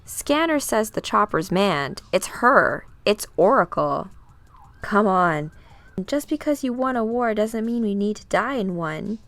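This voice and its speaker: A woman's voice: medium pitch, animated, measured pace, a bit bright, no echo. A woman voicing a scheming comic book villain who urgently orders henchmen around in a commanding manner.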